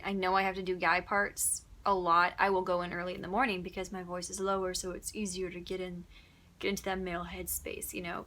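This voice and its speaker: Bright-voiced chatty woman narrator. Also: medium pitch. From Upstate New York. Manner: chatty, giggly, many ums. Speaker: audiobook narrator. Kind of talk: casual Q&A answers.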